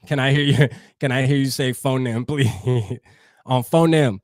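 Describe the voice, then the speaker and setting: Salty, chatty fan-show host. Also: male voice, mid-range voice, steady tone, unhurried, no room sound, studio quality. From New Jersey. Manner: loose, profane, talks straight to chat. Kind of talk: casual livestream sports talk.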